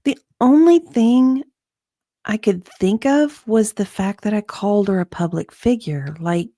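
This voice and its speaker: A woman playing a hesitant, forgetful witness in a dramatic reading of a legal deposition, halting and often trailing off. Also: medium pitch, mellow, no room sound, studio quality. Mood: puzzled, defensive.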